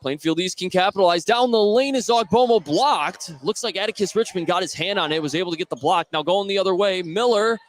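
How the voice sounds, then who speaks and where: A man's voice: high-pitched, a little fast, few pauses. A man doing live play-by-play radio commentary of a basketball game.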